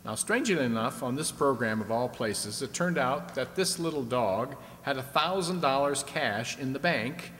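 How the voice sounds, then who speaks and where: A genial quiz host from an old-time radio show , acted by a man, talking in a mid-pitched voice. He explains and prompts in a chatty way, heard in a reverberant hall with a little noise behind him. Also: animated, normal speed, few pauses, a bit bright, close to an everyday voice. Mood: announcing. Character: genial, chatty male host of a vintage audience-stunt show. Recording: echoey hall with background noise.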